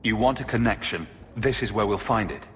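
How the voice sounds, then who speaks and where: An actor playing a determined fantasy game hero with a wry, controlled manner. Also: male voice, on the low side, fairly quick, a little hiss or hum, lightly acted. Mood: challenging. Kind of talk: dramatic video game acting. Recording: long hall reverb, faint music behind.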